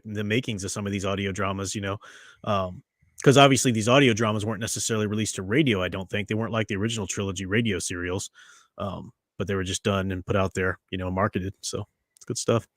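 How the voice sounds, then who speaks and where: A man's voice: lowish voice, fast, dry recording, clean studio sound. A man hosting a casual podcast discussion, rambling with frequent restarts and fillers. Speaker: podcast host.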